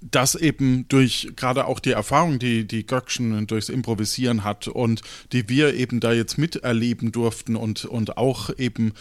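A man casually explaining things as a podcast host in a relaxed behind-the-scenes chat. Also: medium pitch, moderate pace, hardly any pauses, no room sound. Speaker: podcast host and game creator.

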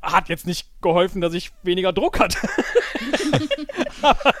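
Excitable male podcast guest with a fairly high voice, conversational and exclamatory, recorded close and dry. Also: takes it slowly, no room sound. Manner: animated, exclamatory, acts out little quotes. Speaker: podcast guest and improv player. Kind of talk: casual chat, debriefing an improv audio game.

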